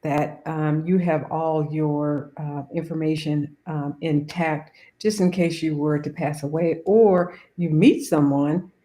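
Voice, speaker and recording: Older lady on a panel, talks slow and hesitant, lots of ums, mellow voice. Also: low-pitched, fairly even intonation. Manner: hesitant, many pauses and fillers. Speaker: talk show panelist. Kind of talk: casual advice talk.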